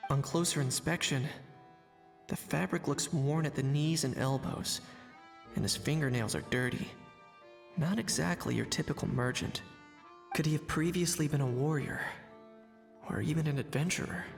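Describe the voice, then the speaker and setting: A man playing a composed, observant investigator in a video game, narrating in a measured, analytical way. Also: on the high side, vocal fry, in a large hall, faint music behind. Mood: curious, suspicious.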